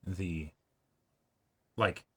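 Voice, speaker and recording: Bright, forceful man in casual discussion. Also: low voice, very slow, slightly rough. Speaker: co-host of an anime reaction show.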